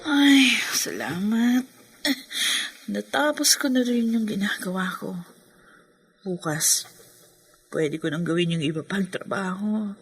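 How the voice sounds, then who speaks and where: A woman's voice: mid-range voice, takes it slowly, long pauses, husky. An actress playing an earnest, self-sacrificing Filipino working wife and mother in a radio drama, speaking politely and deferentially. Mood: polite, eager.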